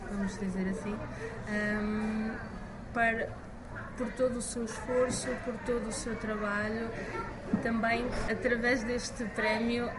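A woman teacher with a European Portuguese accent, answering interview questions in a measured, hesitant way with many fillers. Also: on the high side, noisy background.

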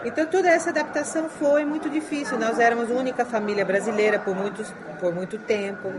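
A middle-aged woman from Brazil who grew up in China, answering questions in a halting, conversational way. She restarts her phrases often and ends sentences with tag questions. Crowd chatter is clearly heard behind her voice. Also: a little high. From Brazil, raised in China. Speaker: interviewee. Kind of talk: casual interview.

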